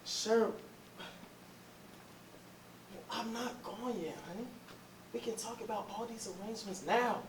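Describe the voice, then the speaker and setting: A woman's voice: on the high side, normal speed, background noise, close to an everyday voice. A woman playing an earnest, bossy, lovelorn husband in a staged reading with Southern dialect. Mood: gentle. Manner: urgent, pleading, commanding. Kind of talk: staged readers theatre, Southern period dialect. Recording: echoey, distant stage recording.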